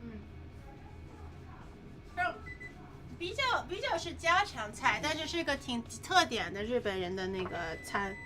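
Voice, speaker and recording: High-voiced playful woman streamer. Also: lively intonation, a little slow, pauses often, background noise. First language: Mandarin. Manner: playful, giggly, chatty. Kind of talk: casual cooking livestream chat. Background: background music.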